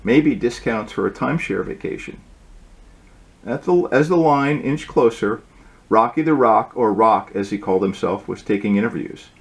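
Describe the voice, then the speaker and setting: A man's voice: fairly low pitch, normal speed. Man reading a storybook aloud. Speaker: author reading own book aloud. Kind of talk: storybook read-aloud with asides.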